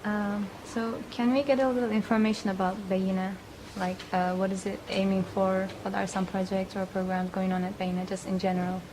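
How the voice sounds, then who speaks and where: A woman conducts an interview, asking questions politely and filling pauses with short fillers. Her pitch stays flat and even as she speaks. A clear layer of background noise runs beneath her voice. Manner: polite, with frequent brief affirmations and fillers. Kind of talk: conversational interview.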